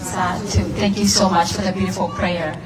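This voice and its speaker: A woman's voice: on the low side, flat delivery, moderate pace. A woman emceeing a gathering at a temple, passing the mic around with playful, joking remarks. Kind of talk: lively emcee chatter to a crowd. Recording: roomy, distant mic, crowd around.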